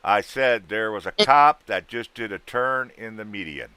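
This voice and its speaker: A man's voice: fairly low pitch, expressive, normal speed, bright, forceful tone, clean tone. A man in his thirties, hosting a livestream and bantering with guests in trucker slang. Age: 30s. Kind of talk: casual livestream chat.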